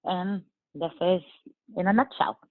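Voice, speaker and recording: A woman in her forties from Bulgaria, speaking English with a Bulgarian accent, answering interview questions in an earnest, measured way with frequent fillers. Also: fairly low pitch, dry recording. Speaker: guest. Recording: tinny phone-like line.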